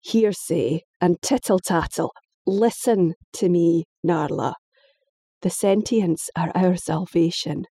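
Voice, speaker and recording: A woman's voice: lowish voice, slow, creaky, warm tone, husky, dry recording, studio quality, full character voice. A woman voicing a stern, weary middle-aged father, lecturing patiently but firmly.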